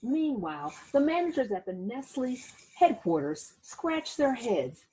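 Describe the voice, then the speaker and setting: A woman reads a picture book aloud with a lively, swooping delivery and a slightly husky edge to her voice. She performs the story dramatically, in the manner of a teacher speaking to young children, and turns to her listeners with asides. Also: on the high side, measured pace, rough recording. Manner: animated, dramatic, acts out sound words and exclamations.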